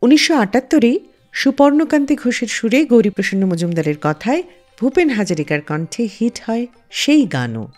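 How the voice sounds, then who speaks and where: A woman presenting a music radio show, telling anecdotes in an expressive storytelling way. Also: on the high side, moderate pace.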